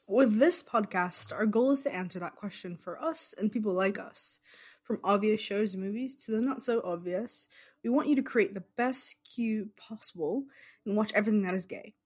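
A woman co-hosting a podcast, reading its prepared intro in a measured way. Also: medium pitch, a little mellow, slightly rough. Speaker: podcast co-host.

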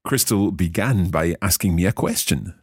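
A man from Scotland leads a relaxed podcast language lesson, talking slowly and repeating each phrase. His voice sits very low but swings widely in pitch. The sound is close and dry. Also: husky, dry recording. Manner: slow, careful, repeats phrases aloud. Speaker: language learner and podcast host.

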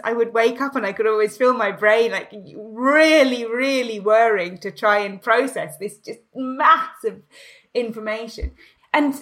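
A woman speaking as an interview guest, thoughtful and searching. She circles her ideas with "you know" and small restarts, now and then breaking into a soft laugh. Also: medium pitch. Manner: laughs lightly while talking. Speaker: translator and writer, interview guest. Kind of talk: reflective conversational interview.

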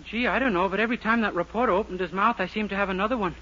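A man's voice: sits high, rapid-fire, sharp tone. An actor playing a naive, hapless, boyish young man, easily flustered, in a 1940s radio sitcom. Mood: sheepish, bewildered. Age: young adult. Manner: boyish, innocent, literal-minded. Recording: noisy, muffled 1940s radio recording.

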